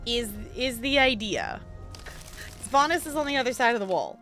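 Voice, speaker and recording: A woman streamer chats casually while playing, gushing in a bubbly way. Her voice is bright and fairly high, leaping around lively in pitch. Faint game music hums behind her. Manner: chatty and bubbly, quick to gush. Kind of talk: casual gaming chat.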